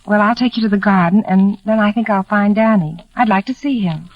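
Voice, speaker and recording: An actress playing a shy, kindly young housemaid, earnest and gentle, in a 1940s radio drama. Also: female voice, mid-range voice, a little fast, close to an everyday voice. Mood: earnest, wistful. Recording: muffled, faintly noisy old radio recording.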